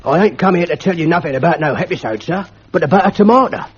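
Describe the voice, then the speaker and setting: A man's voice: on the high side, lively intonation, fast talker, slightly rough, pitched above the performer's own voice. A man voicing an ancient, grumbling male school porter who talks in broken dialect and keeps calling people "sir", on a lo-fi old recording. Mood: stubborn. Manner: ungrammatical dialect, deferential, keeps saying "sir".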